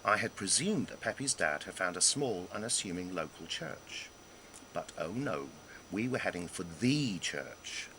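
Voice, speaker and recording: A man reading his own humorous memoir aloud in a wry, self-deprecating way, with dramatic pauses and emphasis. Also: low voice, expressive, sharp tone, slight background noise, rough recording. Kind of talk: scripted narration of a humorous memoir.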